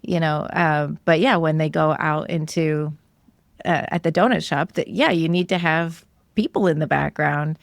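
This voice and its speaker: Low-voiced woman with creaky fry, podcast host. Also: moderate pace, no room sound. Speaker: podcast host interviewing a guest. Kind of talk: casual conversational interview.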